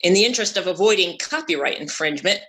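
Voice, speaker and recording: A woman's voice: fairly low pitch, lively intonation, fast, a bit bright. A woman playing a formal, pompous, old-fashioned male sea captain in a theatrical table read. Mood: grave, pompous.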